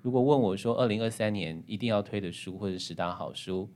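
A man's voice: fairly low pitch, fast talker, darkish tone. A man with a Taiwanese Mandarin accent, hosting a conversational book interview on a podcast.

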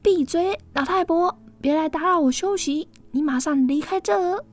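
A woman voicing a proud, spoiled young woman who talks in a haughty, sharp and dismissive way in a storybook tale. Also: sits high, normal speed, voice pushed up, natural voice. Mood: scornful.

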